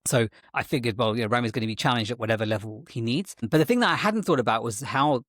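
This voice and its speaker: A man's voice: medium pitch, quick pace, a bit bright, dry recording, studio quality. A man interviewed as a guest, talking in a rambling way with frequent restarts and self-corrections. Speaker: guest, tech executive and parent. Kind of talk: conversational interview.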